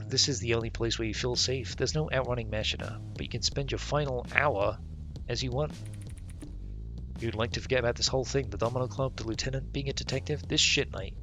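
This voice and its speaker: A man's voice: medium pitch, fairly bright tone. A man live-streaming a game, reading its text aloud and commenting in a casual, slangy, sweary way.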